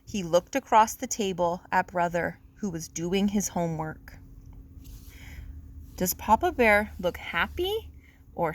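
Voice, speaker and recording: A woman's voice: medium pitch, fairly bright tone. A woman reading a children's picture book aloud in a simple, teacherly, explanatory manner. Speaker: read-aloud storyteller.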